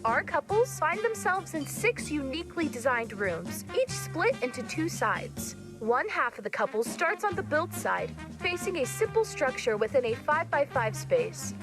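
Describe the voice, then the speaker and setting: A woman's voice: high-pitched, very animated, normal speed, few pauses, over music. A woman playing a bubbly game show announcer, reading a scripted voiceover with showy emphasis. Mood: upbeat, inviting.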